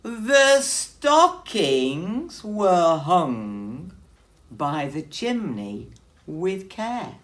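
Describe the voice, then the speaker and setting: A woman voicing a proud, easily flustered male bear in a children's storybook, booming and emphatic. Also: very animated, drawn-out words, full character voice. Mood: reciting grandly. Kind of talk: children's storybook character reading.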